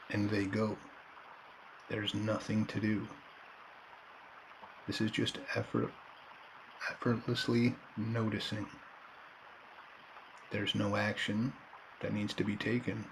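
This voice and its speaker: Man, meditation guide, very even tone, gravelly creak, calm short phrases, faint nature sounds and birdsong behind. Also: fairly low pitch, slight background noise, poor audio. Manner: calm, unhurried, with long pauses between short phrases. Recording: background nature ambience and birdsong. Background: nature and bird sounds.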